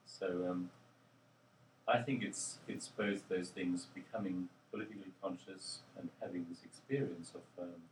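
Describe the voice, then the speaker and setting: An older man, a linguist, answering interview questions in a halting, thoughtful way with many pauses and ums. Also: low voice, steady tone, slight background noise. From Australia. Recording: roomy, distant mic with faint ambience.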